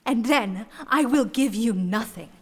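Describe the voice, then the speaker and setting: An actress playing a shrewd, composed young woman in a fantasy audio drama, speaking formally and deliberately. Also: a little high, slightly rough, long reverb tail. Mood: defiant, measured.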